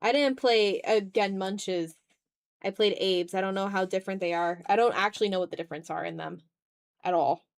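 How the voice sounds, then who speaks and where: A woman's voice: medium pitch, normal speed, vocal fry, dry recording, clean studio sound. A woman in her twenties chatting casually on an art livestream, muttering to herself while drawing, self-deprecating and casually sweary. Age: 20s. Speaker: art streamer. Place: room at home.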